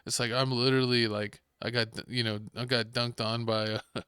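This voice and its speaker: A man's voice: medium pitch, little pitch movement, moderate pace, pushed and bright, clean tone, no room sound, clean studio sound. A Texan man hosting a podcast, chatting casually and rambling about video games, sometimes acting out game scenarios. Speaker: podcast host. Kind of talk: casual sports chat.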